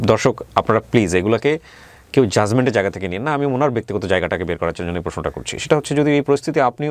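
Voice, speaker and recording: A man with a Bangladeshi accent hosting a radio interview, earnest and probing, explaining at length and mixing in English words. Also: medium pitch. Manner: mixes English words into his speech. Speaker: radio show host.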